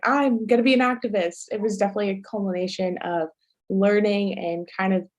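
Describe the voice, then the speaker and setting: A woman's voice: medium pitch, mellow. A teenage girl speaking as a guest activist, passionate and casual, with many filler "like"s. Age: teens. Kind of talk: panel discussion answers.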